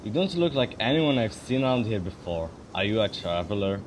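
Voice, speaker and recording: A man voicing a guarded, businesslike twelve-year-old boy guarding a village in a fan dub, speaking informally over faint rumbling noise. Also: sing-song, fairly quick. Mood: questioning, wary. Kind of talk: fan dub character dialogue.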